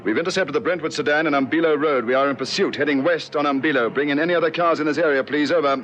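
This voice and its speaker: An actor playing a tough police sergeant in an old radio drama, giving brisk, authoritative orders. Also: male voice, fairly high pitch, a bit bright, close to an everyday voice. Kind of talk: 1960s radio drama acting. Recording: dull, noisy old radio recording in car.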